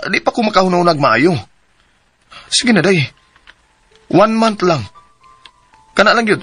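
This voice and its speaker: An actor playing a hesitant young boyfriend in a radio drama, coaxing and pleading with a Cebuano Filipino accent. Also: male voice, high-pitched, sharp tone, slightly rough, no echo, full character voice. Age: young adult.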